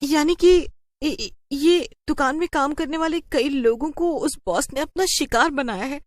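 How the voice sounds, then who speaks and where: High, fretful young wife, played by a woman. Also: unhurried, dry recording. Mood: anxious. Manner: exclaiming, fretful. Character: anxious, complaining young wife working at a pizza shop. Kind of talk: animated cartoon story voice acting.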